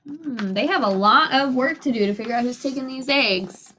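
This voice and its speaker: A woman teacher reading a storybook aloud to children, expressive and instructive, pausing to ask questions. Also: sits high, a little hiss or hum.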